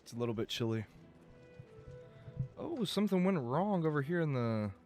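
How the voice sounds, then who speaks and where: A man streaming a game live, talking casually and rambling, his voice going a bit rough as he loses it. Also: a little high, very animated, normal speed, a little mellow, soft background music. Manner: rambling, chatty, swears and laughs. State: losing his voice.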